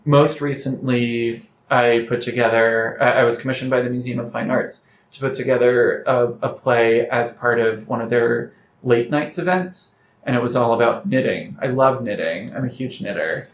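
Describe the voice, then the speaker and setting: A man with a middle-pitched voice answers as a guest in an interview. The speech is articulate and explanatory, told like anecdotes. The sound is echoey and a little dull. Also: moderate pace. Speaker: playwright guest. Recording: roomy, slightly dull sound with faint noise.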